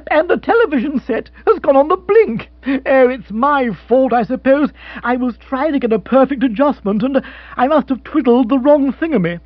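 A man reading an audiobook, voicing an excitable, flustered aristocratic Englishman in a high, breathless, fussy voice. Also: normal speed, no echo, dull audio.

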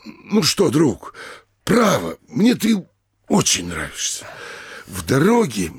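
An actor playing a fussy, ingratiating provincial mayor in a classic Russian comedy audio play, wheedling repetitively and snapping irritably at interruptions. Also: male voice, mid-range voice, huge pitch swings, slow, breathy edge, exaggerated voice. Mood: ingratiating, coaxing. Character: fussy, anxious, ingratiating provincial mayor, a bullying older official.

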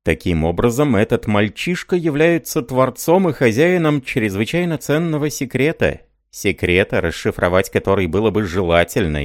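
A man's voice: lowish voice, no echo, clean studio sound, close to an everyday voice. A man voicing a suave scheming spy. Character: scheming smooth-talking male spy, middle-aged. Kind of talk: audiobook reading.

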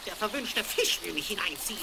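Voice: expressive, brisk, vocal fry. An actor voicing a screeching, ungrateful little old dwarf in a fairy-tale radio play for children. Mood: indignant scolding. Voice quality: screeching, shrill. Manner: scolding, indignant, quarrelsome. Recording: crackly 1970s vinyl record.

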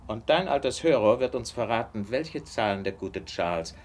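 Man acting as a confident detective, very lively intonation, suave and teasing, theatrical radio drama, faint background noise. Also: medium pitch, normal speed, close to an everyday voice. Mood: amused, confident.

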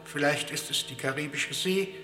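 An actor playing a dutiful, coolly zealous professor in a German radio play, reciting science in a precise, clipped manner. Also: male voice, a little high, normal speed, bright, forceful tone. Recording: echoey hall reverb, music behind.

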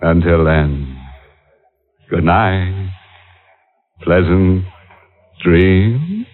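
A man's voice: low-pitched, lively intonation, extremely slow. A man hosting a scripted 1940s radio horror show, sardonic, theatrical and mock-sinister. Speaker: host. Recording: tinny old radio recording. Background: music.

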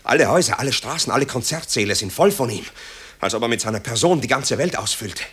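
An actor playing a tormented, guilt-ridden composer in a radio play, with a husky voice and brooding, impassioned delivery. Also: male voice, medium pitch, animated, fast talker, fairly bright tone. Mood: agitated, haunted. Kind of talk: dramatic radio play acting.

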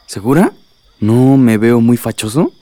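A man's voice: mid-range voice, lively intonation, a little slow, warm tone, lightly acted. A man playing a smitten young villager with a Mexican accent in a radio soap opera. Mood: anxious, hurried. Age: young adult.

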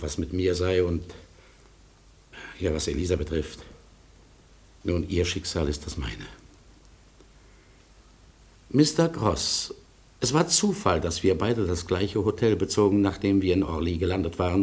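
An actor in a German radio play playing an older, ailing lawyer, a melancholy storyteller who speaks formally and deliberately, often letting his voice sink. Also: sits low. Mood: confiding, earnest. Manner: deliberate, formal, pauses and drops to near whisper.

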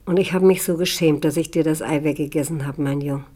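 Eine Schauspielerin in einem deutschen Hörspiel spielt eine liebevolle, beschämte Tante, die stockend und vertraulich von dunklen Zeiten erzählt. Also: female voice, low voice, fairly even intonation, a little fast, warm tone. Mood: ashamed, tender. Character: ashamed, affectionate aunt recalling dark times. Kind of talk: German radio play dialogue.

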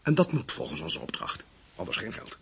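An actor playing an exasperated, nervy hired criminal in a radio drama, irritable and fretful with halting starts. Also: male voice, lowish voice, sing-song, fairly bright tone, slightly rough, in a whisper, full character voice. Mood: irritated, fretful.

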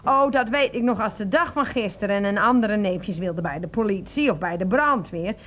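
Actress as doting, fussy older aunt. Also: female voice, mid-range voice, lively intonation, normal speed, close to an everyday voice. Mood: fondly reminiscing. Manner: cooing, reminiscing. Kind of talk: Dutch radio play acting.

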